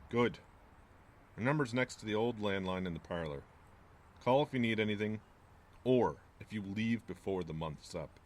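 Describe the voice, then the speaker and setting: An actor playing a gruff, wary small-town landlord in an audio drama, speaking in a curt, folksy, ominous way. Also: male voice, fairly low pitch, expressive, normal speed, long pauses, close to an everyday voice. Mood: stern, curt.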